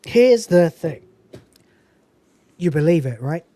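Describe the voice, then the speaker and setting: A man's voice: on the high side, slow. A man hosting a casual film review, talking animatedly and swearing now and then. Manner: animated, quotes film lines with put-on voices and swearing. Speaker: podcast host.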